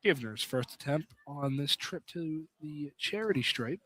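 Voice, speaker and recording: A man's voice: a little high, expressive, unhurried, fairly bright tone, no echo. A man doing live play-by-play commentary of a basketball game from inside a field house. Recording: noisy gym with ball bounces.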